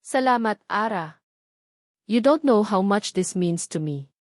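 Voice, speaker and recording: A woman's voice: mid-range voice, expressive, measured pace, no room sound, clean studio sound, lightly acted. A woman narrator voicing a suave, sweet-talking male boss, tender on the surface and calculating beneath, in a storybook romance. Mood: grateful, tender. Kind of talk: storybook character dialogue.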